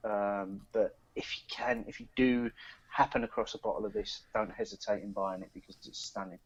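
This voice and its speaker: A man's voice: on the low side, steady tone, fairly quick, husky, dry recording. A man co-hosting a beer podcast, rambling casually with lots of ums and restarts. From East Anglia, England. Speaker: podcast co-host. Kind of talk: casual beer review chat. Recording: dry dull lo-fi podcast recording.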